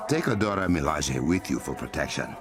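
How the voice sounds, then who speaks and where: Deep, solemn African warrior king. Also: sing-song, music in the background. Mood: commanding. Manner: commanding, formal, measured. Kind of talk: video game voice acting.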